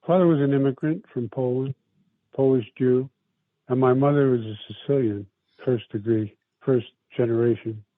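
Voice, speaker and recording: A man, telling his life story in a rambling, halting way. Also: mid-range voice, steady tone, no echo. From South Brooklyn, New York. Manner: rambling, halting, many restarts and fillers. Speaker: interview guest. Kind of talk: casual life-story interview. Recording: tinny phone-like dry sound.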